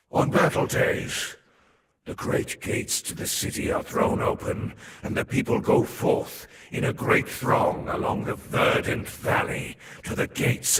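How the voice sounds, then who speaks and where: Slow, whispery ancient overlord, echoing. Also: male voice, fairly bright tone. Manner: solemn, imperious, ominous, deliberate delivery. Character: ancient inhuman overlord, cold, haughty, menacing master of slaves. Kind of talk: scripted video game lore narration. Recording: echoing voice with faint background noise.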